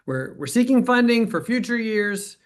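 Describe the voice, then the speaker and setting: A man's voice: very high voice, animated, normal speed. A man playing an earnest, appreciative program director near retirement, with hesitant, stammering starts, in natural staged dialogue. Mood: earnest, concerned. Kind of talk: dramatized dialogue, natural conversation.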